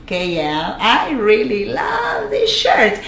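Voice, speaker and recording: A woman's voice: on the high side, huge pitch swings, takes it slowly, creaky, reverberant, background noise. A woman from Argentina with a strong Argentine Spanish accent, giving an earnest motivational talk as a life coach on a livestream, with lots of fillers and repeated words. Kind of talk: motivational Facebook Live talk. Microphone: camera mic on a livestream.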